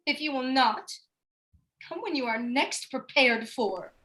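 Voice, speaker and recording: High-voiced, scolding jealous mistress played by a woman. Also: unhurried, a bit bright. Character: indignant, jealous female lover, sharp-tongued and spurned. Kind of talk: Shakespearean radio drama acting.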